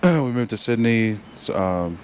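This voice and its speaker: A young man with a New Zealand accent, a rugby player chatting as a guest in a casual interview, halting and full of fillers and restarts. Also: lowish voice, animated, a little mellow, slight background noise.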